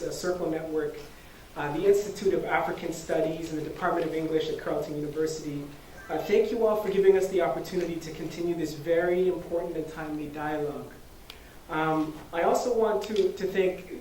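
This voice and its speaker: Higher-voiced man hosting in an echoey hall. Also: darkish tone. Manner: earnest, with many fillers and restarts. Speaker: event host. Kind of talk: opening remarks speech. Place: university lecture hall. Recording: echoey hall, distant mic, faint noise.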